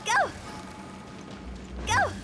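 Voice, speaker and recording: An actress playing a mischievous teenage girl in a video game, with a playful, breezy, teasing manner. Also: very slow. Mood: urging. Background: game music.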